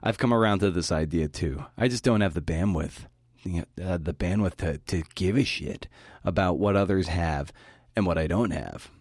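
A man's voice: low-pitched, normal speed, a little mellow, breathy edge, no room sound, clean studio sound. A man hosting a podcast, delivering a scripted talk that links interview clips. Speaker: podcast host giving a talk.

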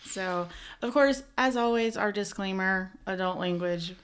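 A woman's voice: mid-range voice, unhurried, clean tone. A young woman hosts a casual chat podcast in a mid-range voice. She talks loosely and giggles often. Her speech is full of fillers like "like" and "um". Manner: chatty, giggly, many "like" and "um" fillers. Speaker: podcast host.

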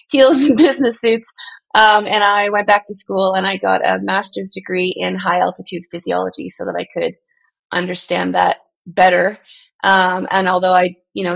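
Female coach, interview guest, mid-pitched with noticeable vocal fry, conversational. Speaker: altitude coach and podcast guest.

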